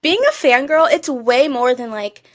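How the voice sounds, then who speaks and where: A woman's voice: sits high, normal speed. A young woman co-hosting a podcast, chatting casually in an effusive, emphatic and colloquial way. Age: young adult. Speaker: podcast co-host.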